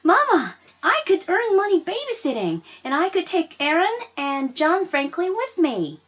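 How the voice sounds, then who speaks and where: A woman voicing an excitable, emotional sixth-grade girl in a story read aloud. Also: very high voice, a little slow, muffled recording, voice pushed up, full character voice. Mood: eager pleading. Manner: exclaiming, emotional, gasping.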